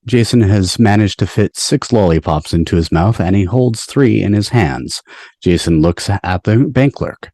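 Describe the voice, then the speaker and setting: Deep-voiced man reading stage directions. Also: moderate pace, warm tone, no room sound, studio quality. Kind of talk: scripted narration at a table read. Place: home, over a video call. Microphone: video call microphone.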